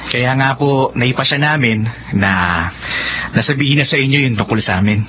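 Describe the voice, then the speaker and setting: A man's voice: mid-range voice, moderate pace, background noise, natural voice. A man acting an earnest, devoted young suitor in a romantic radio drama, ardent and pleading. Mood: respectful, sincere. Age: young adult. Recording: dull lo-fi audio with music.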